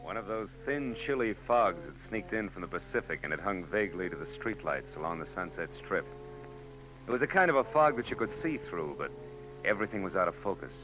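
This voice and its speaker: A man's voice: low-pitched, animated, fairly quick, rough recording. An actor playing a hard-boiled private eye who narrates his case in a 1940s radio drama, wry and world-weary. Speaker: narrator and lead. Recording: old radio broadcast with background music.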